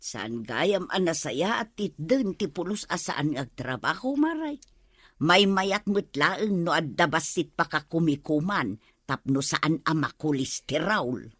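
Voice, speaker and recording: An actress playing a lazy, nosy, exclamatory housewife in a comedy radio drama. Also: female voice, low voice, sing-song, unhurried, no room sound, studio quality, heavily acted. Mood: playful, exclamatory. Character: lazy, nosy housewife with high blood pressure.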